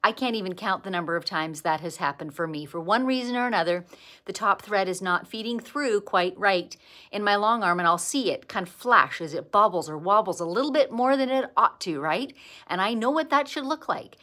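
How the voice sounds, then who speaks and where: A woman's voice: medium pitch, a little fast. An older woman from Canada with a Canadian accent, hosting a casual quilting livestream, greeting viewers by name and chatting about her craft. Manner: chatty, warm greetings to viewers, lively bursts of excitement. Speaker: quilting teacher and livestream host. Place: indoor sewing studio.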